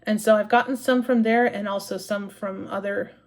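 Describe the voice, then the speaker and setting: A woman's voice: mid-range voice, steady tone, moderate pace. A woman in her forties from Maine, chatting casually to camera as a cross-stitch vlogger and often rambling and correcting herself with lots of fillers.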